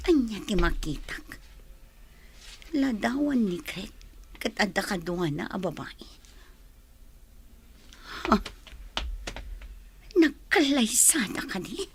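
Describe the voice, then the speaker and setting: A woman's voice: mid-range voice, sing-song, slow, gravelly, pauses often, a little hiss or hum, exaggerated voice. A woman with a Filipino accent playing a headstrong, jealous rich daughter and wife in an audio drama. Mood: curious, suspicious.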